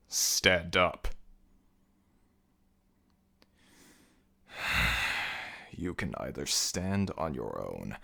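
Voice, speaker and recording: A man acting as an imposing jackal-headed god of the dead in an ASMR audio roleplay, speaking slowly and formally with commanding, deliberate menace and curiosity. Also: very deep voice, gravelly, sharp tone, heavily acted.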